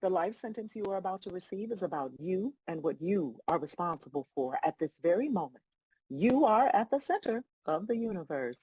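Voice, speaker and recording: A woman plays God as a dry, scolding celestial judge in a comedic script read. Her husky voice swings widely in pitch, stern and full of sarcasm. The sound is close and dry but somewhat dull. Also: animated, fairly quick, no room sound. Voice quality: husky, raspy.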